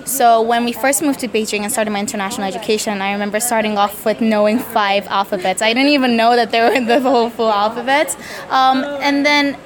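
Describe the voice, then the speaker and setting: A young woman from Korea, a native Korean speaker, answering interview questions casually, hesitant, with many fillers and self-corrections. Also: a little high. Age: young adult. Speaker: interviewee, student.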